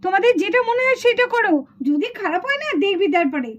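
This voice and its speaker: A woman's voice: extremely high pitch, lively intonation, quick pace, dull audio. A woman voicing an encouraging schoolteacher doll who praises and instructs in a children's puppet show.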